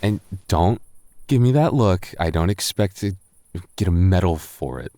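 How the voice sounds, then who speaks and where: Guy acting as tipsy roommate boyfriend type, deep slow voice, stammery and teasing, kinda smitten, roleplay audio. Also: expressive, mellow, no echo, studio quality. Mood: teasing, sweet. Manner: stammering, halting, tipsy rambling. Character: tipsy young male roommate, teasing, flustered, secretly smitten.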